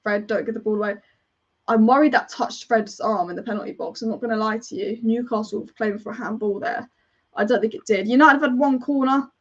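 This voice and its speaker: A woman's voice: fairly high pitch, lo-fi sound. A woman commentating a football match as a fan on a live watch-along, chatty and partisan, rambling and reacting to the play as it happens.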